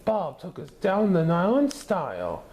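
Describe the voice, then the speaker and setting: A man's voice: high voice, huge pitch swings, moderate pace, darkish tone, slight background noise, voice pushed up, full character voice. A man voicing a genial, resourceful father in a children's storybook, with a friendly, reasoning manner.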